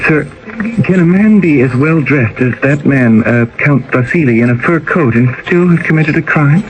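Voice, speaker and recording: An actor playing a poor young exile, earnest and aggrieved, in a radio drama. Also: male voice, a little high, moderate pace, close to an everyday voice. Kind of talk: 1980s radio drama acting.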